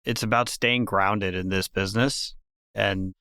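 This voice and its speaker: Smooth, low-voiced man, reflective guest. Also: steady tone, unhurried, pushed and bright, no room sound, clean studio sound. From Ecuador. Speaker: guest, risk and portfolio manager. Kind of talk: podcast interview answers.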